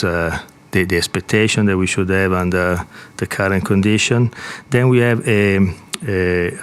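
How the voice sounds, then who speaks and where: Low-voiced man giving a halting financial presentation. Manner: halting, with frequent hesitations and repeated words. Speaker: company executive presenter. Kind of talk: explanatory financial presentation to analysts.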